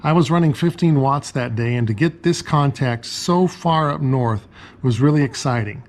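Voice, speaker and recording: A man's voice: medium pitch, normal speed, a little mellow. A man narrating an explanatory show-and-tell as a ham radio hobbyist.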